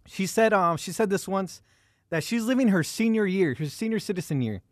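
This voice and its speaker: High-voiced, agreeable male co-host. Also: lively intonation, no echo, studio quality. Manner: agreeable, chatty, quick to laugh. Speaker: podcast co-host. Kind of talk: casual podcast chat.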